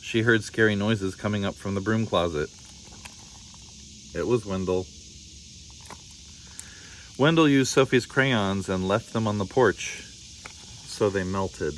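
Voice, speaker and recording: A man with a fairly low voice reads a children's story aloud. The narration is expressive and scripted. A faint background noise runs under the recording. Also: takes it slowly, long pauses, low-quality recording. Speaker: storybook reader.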